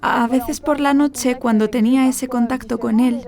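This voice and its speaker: A young woman in her twenties tells her own story in an earnest, reflective way. Her voice sits a little high, with a mellow, warm tone. She speaks in a measured, sincere manner as an interviewee. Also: fairly even intonation. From France. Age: 20s. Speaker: interviewee, graduate student. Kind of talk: personal testimony.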